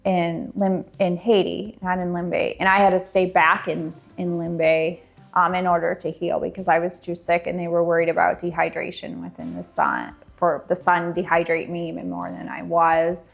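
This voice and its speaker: A woman with a low, gravelly voice tells a rambling, reflective story. Music sits faintly underneath. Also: fairly even intonation, moderate pace.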